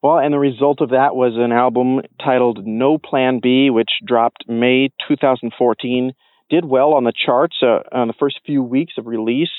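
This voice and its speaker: A man's voice: medium pitch, fairly even intonation, moderate pace, no echo, narrow, tinny audio. A man hosting an interview, politely putting prepared questions to his guest. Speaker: interviewer, show host.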